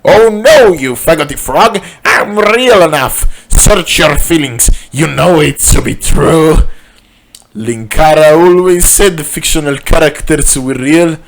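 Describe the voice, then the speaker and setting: A man puts on a theatrical mad scientist villain for a comic tale. The voice is raised in pitch and loud. The delivery is grandiose and taunting, full of declamation. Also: slow. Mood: gloating.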